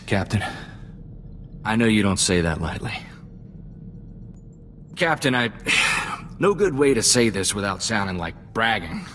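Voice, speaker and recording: A loyal young spacefaring sidekick with a lowish voice. He speaks sincerely and plainly, with folksy reflection, as in a video game cutscene, over some background noise. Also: fairly bright tone. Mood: humble, sincere.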